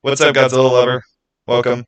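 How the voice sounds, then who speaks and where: A man's voice: mid-range voice, little pitch movement, brisk. A man chatting informally as a livestream host, answering viewer questions and rambling as he thinks aloud. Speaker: livestream host and game master.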